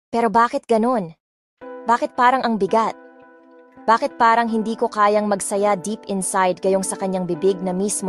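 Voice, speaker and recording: Emotive first-person woman narrator. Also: medium pitch. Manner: emotive, reflective storytelling.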